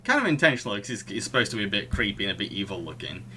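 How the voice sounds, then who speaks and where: Male podcast host, bright tone, casual analytical film chat, halting delivery, faint background noise. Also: on the low side, sing-song.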